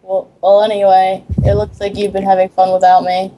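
A woman's voice: mid-range voice, completely flat delivery, fairly quick, mellow, a little hiss or hum, poor audio. A woman reading a script aloud and chatting casually in a group of friends. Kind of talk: casual chat and read-aloud among friends.

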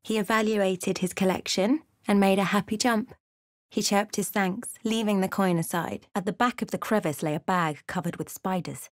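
An actress playing a thoughtful adventurer in a video game, with a measured, dramatic storytelling delivery. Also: female voice, mid-range voice, dry recording, studio quality, natural voice. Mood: hushed, tense narration. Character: thoughtful adventurer woman recounting her journey. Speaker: voice actor for a player character. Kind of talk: scripted game narration and character lines.

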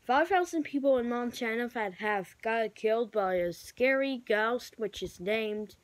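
Slow, halting female amateur announcer. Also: on the high side, slight background noise, poor audio. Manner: halting, improvised delivery with self-corrections. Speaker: amateur vlogger play-acting emergency alerts. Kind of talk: improvised mock emergency broadcast announcements.

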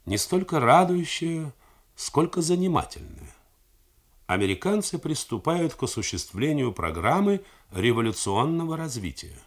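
A man voicing a gentle, polite older chairman of a liberation front in a radio novel reading. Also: a little high, sing-song, moderate pace, voice pushed up. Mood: wry. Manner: polite, soft-spoken.

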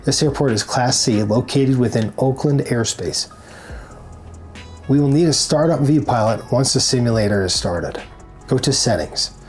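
A man's voice: medium pitch, a little slow. A man in his forties giving scripted, instructional narration to camera as a tutorial presenter. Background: background music.

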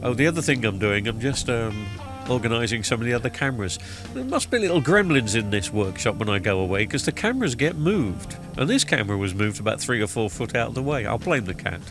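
A man's voice: medium pitch, very animated, fast talker, hardly any pauses. Middle-aged male vlogger, stuffy cold voice, rambling casual voiceover, music bed underneath. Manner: chatty, rambling with many ums and uhs. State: blocked-up nose from a cold. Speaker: TV repair vlogger. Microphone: studio microphone recorded in post-production. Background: background music.

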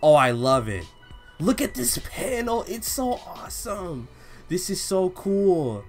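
A young man hosts a casual reaction in an animated voice that swings widely in pitch. His talk is slangy and full of gushing enthusiasm. Soft music plays behind him in a home room. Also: slow. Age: young adult. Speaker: reaction video host. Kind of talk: excited casual reaction commentary, reading manga aloud. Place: home room with bookshelf, indoors.

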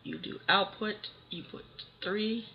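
A hoarse speaker with a cold walks viewers through a software tutorial one step at a time, in a relaxed and unhurried way. The voice-over sounds dull and lo-fi, with a faint hiss underneath. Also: medium pitch. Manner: casual, talks through steps one by one. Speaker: tutorial host. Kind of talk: casual screen-recorded software tutorial.

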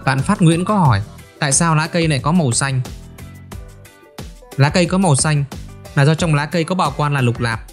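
A man narrates as host of a gardening advice segment. His voice sits a little high and his delivery is scripted, orderly and instructional. Faint music plays behind him. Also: a little fast, long pauses.

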